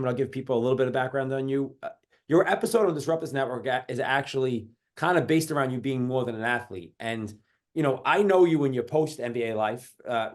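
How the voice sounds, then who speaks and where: A man in his forties from New York hosts a podcast interview. He talks fast and loosely, stringing his questions together in long run-ons. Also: mid-range voice. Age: 40s. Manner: quick, chatty, rambling questions. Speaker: podcast host. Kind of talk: casual interview.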